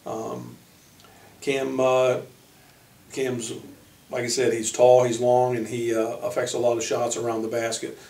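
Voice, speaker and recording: A man who coaches college basketball, giving informal player profiles in a halting way, pausing mid-sentence. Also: medium pitch, flat delivery, vocal fry, a little mellow. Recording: roomy echo, distant microphone.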